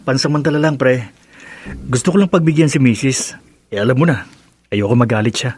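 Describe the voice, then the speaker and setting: An actor playing a sheepish, placating Filipino husband with a hesitant delivery in a radio drama. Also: male voice, medium pitch, brisk, darkish tone, breathy edge, dry recording, lightly acted. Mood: easygoing.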